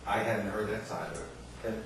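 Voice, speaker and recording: A very echoey, distant recording of a man with a low voice. He speaks in a halting, deliberate way with formal phrasing, as if thinking through his comments in a discussion, with clear noise around him. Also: fairly even intonation. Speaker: audience member at a book reading. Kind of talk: reflective discussion at a book talk. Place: indoor literary salon, far from mic.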